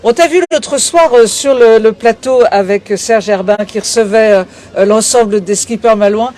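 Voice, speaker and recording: A woman's voice: fairly high pitch, normal speed, a little mellow. A woman conducting an interview on location as the interviewer. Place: aboard a sailing boat in port. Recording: noticeable background noise on location.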